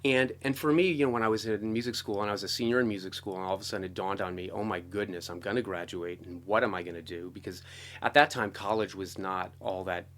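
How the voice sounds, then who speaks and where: A middle-aged American man telling his story casually as an interview guest, talkative and animated. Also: on the low side, fast talker. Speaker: interview guest, composer and guitarist.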